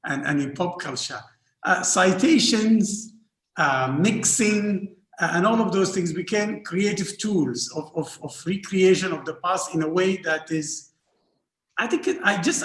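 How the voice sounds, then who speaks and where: A man from Northern Sudan, an art historian speaking on an academic panel, halting often with repeated fillers and restarts. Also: sits high, fairly bright tone. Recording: roomy echo.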